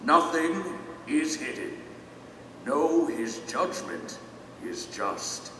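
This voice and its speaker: An actor playing a solemn royal herald in an audio drama, proclaiming grandly and declamatorily in an echoing hall. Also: male voice, on the high side, expressive, drawn-out words, far from the mic, noisy background. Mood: solemn, commanding. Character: solemn royal herald summoning souls to judgment. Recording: cavernous echoing hall, music behind.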